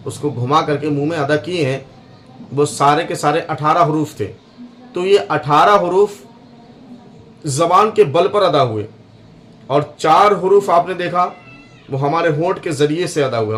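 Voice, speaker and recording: A man giving a slow religious lesson on pronouncing letters, repeating each sound to demonstrate it. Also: fairly high pitch, a bit bright, a little hiss or hum. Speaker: Quran teacher.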